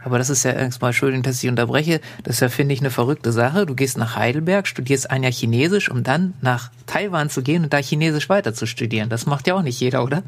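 A man interviewing a guest, curious and quick with short affirmations. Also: mid-range voice. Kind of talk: conversational interview.

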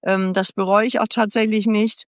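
A woman around seventy, born in the United States, tells her life story in a relaxed interview. Her voice is smooth and resonant at a mid pitch. The recording is close and dry, with no room sound. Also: normal speed, dry recording. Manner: candid, chatty storyteller who acts out past dialogue. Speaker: podcast guest.

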